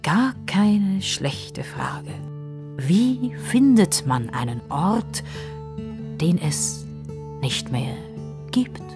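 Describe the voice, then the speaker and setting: A woman voicing a small, mysterious elderly male professor, solemn and drawn-out, sometimes whispery, in a children's story. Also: fairly low pitch, very animated, over music, voice pushed up, heavily acted.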